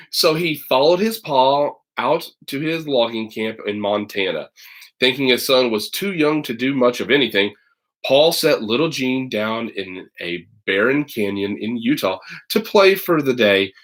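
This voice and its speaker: Male storybook reader, teacher type, mid-range voice, animated, conversational, drops in playful asides. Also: mid-range voice, measured pace. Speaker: teacher reading aloud to children online. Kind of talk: expressive storybook read-aloud with casual asides.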